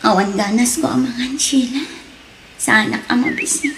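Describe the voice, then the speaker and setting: High-pitched young Ilocano wife played by an actress. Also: female voice, moderate pace, background noise, lightly acted. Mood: despairing. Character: distressed young mother, betrayed and sorrowful wife Maria. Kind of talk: Ilocano radio drama acting.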